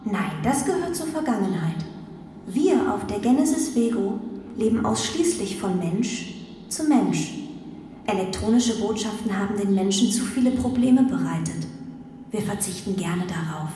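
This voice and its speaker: A woman's voice: mid-range voice, lively intonation, moderate pace, mellow, a little hiss or hum, lightly acted. A woman playing a regal artificial intelligence ruling a space ark in a radio drama, stately, formal and commanding. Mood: cool authority. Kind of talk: children's radio drama acting. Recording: cavernous reverb, music behind.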